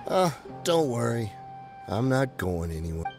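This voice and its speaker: A man's voice: medium pitch, very animated, gravelly, over music. An actor playing a nervous male smuggler who pleads and bargains when cornered, in a game cutscene. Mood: pleading. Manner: pleading, bargaining, quick to back down.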